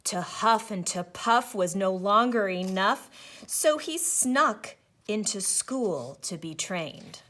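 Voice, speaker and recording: Woman teacher for children's read-aloud: slow, highish, very animated storybook narration. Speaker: first-grade teacher reading aloud.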